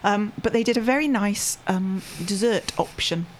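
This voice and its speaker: A woman's voice: mid-range voice, moderate pace, slight background noise. A middle-aged British woman chatting casually, good-humoured and easily amused. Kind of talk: casual chat.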